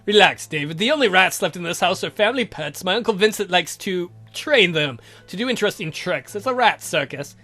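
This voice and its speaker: A woman voicing a stern male count in a visual novel, measured, imperious and coolly probing. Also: lowish voice, expressive, bright, forceful tone, soft background music, voice pushed down, full character voice. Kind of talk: visual novel read aloud in character.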